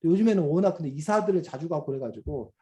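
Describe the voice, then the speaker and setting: Middle-aged male teacher, slightly high but mellow, explanatory and patient, many fillers, video-call sound. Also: expressive, fast talker. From South Korea. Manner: explanatory, many fillers, asks students questions. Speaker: reading teacher. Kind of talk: online class lecture to children.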